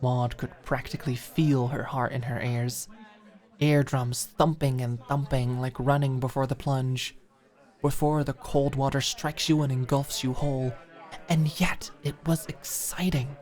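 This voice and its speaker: A woman's voice: a bass voice, fairly even intonation, measured pace, a little mellow, soft background music. A woman reading scripted, dramatic story narration as an audiobook narrator.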